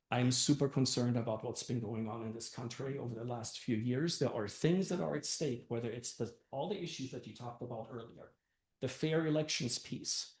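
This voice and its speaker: A man's voice: medium pitch, darkish tone. Older male candidate from Austria and Germany, earnest, loose and conversational, in a reverberant room. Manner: earnest, conversational, many fillers and "right?". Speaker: council candidate. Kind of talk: campaign speech at a meeting. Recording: echoey room.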